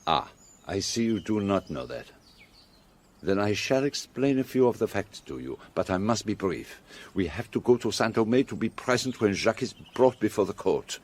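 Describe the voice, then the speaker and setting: An actor playing a shrewd, courteous detective with a French accent in a radio drama, speaking in a measured, theatrical way. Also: male voice, lowish voice, very animated. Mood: brisk, reassuring. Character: shrewd, composed Belgian male detective, wryly courteous.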